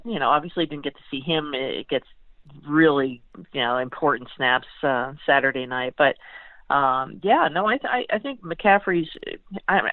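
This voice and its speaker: A woman talks football as a guest sportswriter over a narrow, tinny phone connection. Her delivery is conversational and halting, with words repeated as she works toward her point. The line is dry, with no room sound. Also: deep voice, no room sound. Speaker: sports beat writer guest. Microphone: phone call.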